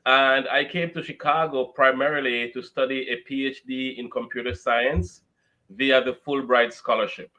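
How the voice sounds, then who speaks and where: A man's voice: medium pitch, fairly even intonation, moderate pace, pushed and bright, highs cut off. A man with a Jamaican accent giving his background as an investor guest in an interview. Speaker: real estate investor, podcast guest. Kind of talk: interview, recounting career background.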